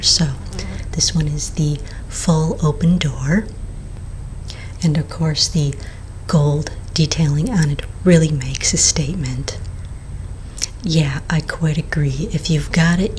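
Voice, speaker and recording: A woman playing a friendly, patient vampire sales agent in a soft, breathy, mostly whispered ASMR roleplay, gentle and unhurried. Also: deep voice, slight background noise. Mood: gentle, helpful.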